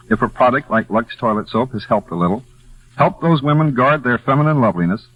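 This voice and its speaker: A man presenting a 1940s radio play with formal, solemn scripted narration. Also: mid-range voice. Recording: dull vintage 1940s radio recording.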